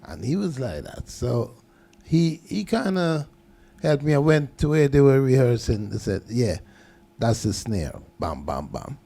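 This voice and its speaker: An older man, a guest on a radio show, telling unhurried stories about how he started out. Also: mid-range voice, creaky. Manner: relaxed, unhurried storytelling. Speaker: guest producer. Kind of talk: casual radio interview. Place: radio studio.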